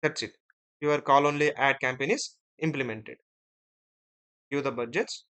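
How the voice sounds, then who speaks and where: A man teaching as an instructor in a screen-share software tutorial, explaining step by step and often checking in with short tag words. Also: medium pitch, steady tone, unhurried, smooth. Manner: step-by-step, often ending phrases with "right?" and "okay".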